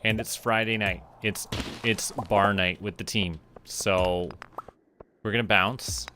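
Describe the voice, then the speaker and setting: Man, low-ish voice, unhurried pace, casual game streamer, playful self-muttering. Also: steady tone, background noise. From Canada. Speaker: game livestreamer. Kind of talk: casual, relaxed gameplay commentary. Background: game music.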